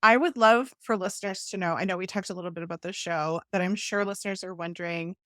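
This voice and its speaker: A woman hosting a podcast, asking questions in an energetic, enthusiastic and affirming way. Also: fairly low pitch, fast, no echo, studio quality. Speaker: podcast host. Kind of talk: casual interview talk.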